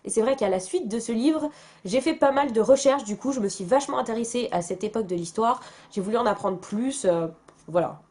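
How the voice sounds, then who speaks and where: A young woman from France talks casually to her camera as a book vlogger. Her voice sits fairly high, and her chatty, self-mocking delivery is full of fillers and restarts. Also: a little fast, darkish tone.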